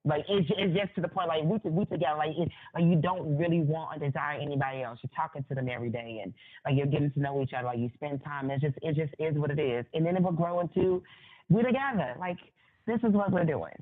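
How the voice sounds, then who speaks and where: A woman's voice: deep voice, fast talker. A woman interview guest giving her opinions conversationally, repeating phrases for emphasis. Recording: thin phone-like line.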